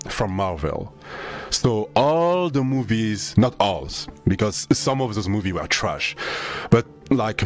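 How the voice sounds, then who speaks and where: A young man from Senegal, raised in France, chatting casually with his stream viewers in a laid-back, slangy way, swearing freely. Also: lowish voice. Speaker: VTuber streamer.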